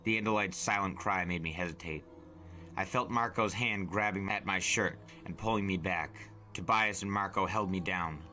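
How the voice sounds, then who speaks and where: Deep, forceful male storyteller. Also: moderate pace, soft background music. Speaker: storyteller, podcast narrator. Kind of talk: dramatic audiobook narration.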